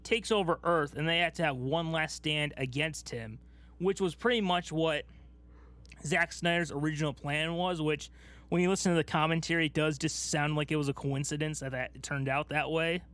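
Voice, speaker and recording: High-voiced man chatting casually. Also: fairly even intonation, moderate pace, a bit bright. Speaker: podcast co-host. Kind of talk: casual chat about movies.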